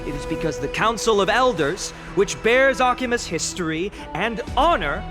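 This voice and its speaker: A man's voice: high voice, lively intonation, moderate pace, over music. An actor playing an earnest young male orator in a video game, giving a formal, impassioned, rhetorical speech in defence of his city.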